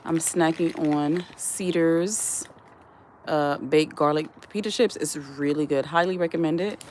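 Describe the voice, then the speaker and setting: A woman's voice: sits low, measured pace, a little mellow, slight background noise. A woman hosting a live stream in a casual chat, reading viewer comments aloud, answering them and giving earnest advice. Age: adult. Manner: earnest, advising tone. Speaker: livestream host.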